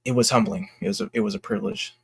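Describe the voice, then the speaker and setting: A young man talking casually and candidly to his fans in a halting, reflective, earnest way, as an independent creator. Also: lowish voice, steady tone, vocal fry, fairly bright tone, husky, dry recording. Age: young adult. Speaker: indie comic creator and vlogger.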